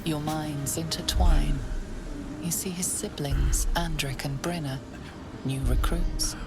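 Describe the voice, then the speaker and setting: A woman playing the ominous narrator of a dark fantasy game, speaking in measured, portentous second-person narration. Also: sits low, fairly even intonation. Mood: ominous, hushed. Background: loud music.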